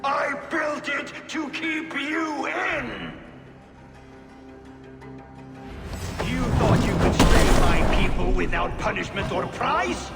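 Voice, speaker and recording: A bitter giant builder in a fantasy game, high and gravelly. He speaks slowly and with theatrical contempt, vengeful and taunting, inside a long reverb. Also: expressive, exaggerated voice. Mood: vengeful fury. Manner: scornful, theatrical, taunting delivery. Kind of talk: video game cutscene acting. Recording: long reverb with faint music behind.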